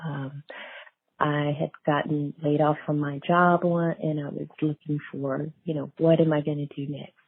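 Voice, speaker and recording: A woman's voice: low voice, gravelly, slightly rough. A middle-aged woman, telling her story conversationally as an interview guest. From small town in eastern North Carolina, USA. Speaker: guest author. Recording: tinny phone line, roomy echo.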